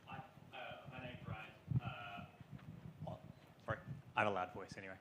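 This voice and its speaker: A man's voice: lowish voice, fairly even intonation, moderate pace, sharp tone, slight background noise, low-quality recording. A man in an audience asking an informal question, stumbling a little as he goes. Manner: casual, stumbling. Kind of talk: informal question at a live event. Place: indoor club event room.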